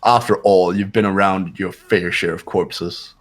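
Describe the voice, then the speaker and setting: A man's voice: low voice, steady tone, measured pace, slight background noise. A man voicing a cold, haughty, impatient young intellectual with clipped, imperious delivery in a fan game dub. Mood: grim, cold. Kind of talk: fan playthrough character voice acting.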